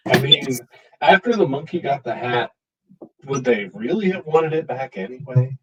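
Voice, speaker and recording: A man's voice: medium pitch, animated, normal speed, lo-fi sound. A man on a panel making teasing, mock-scolding banter. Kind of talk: joking banter.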